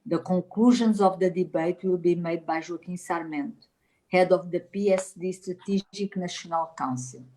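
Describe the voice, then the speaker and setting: A woman's voice: fairly low pitch, a little mellow, poor audio. Portuguese-accented woman giving formal welcome. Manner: measured, formal delivery with occasional slips. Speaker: event host. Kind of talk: formal opening speech.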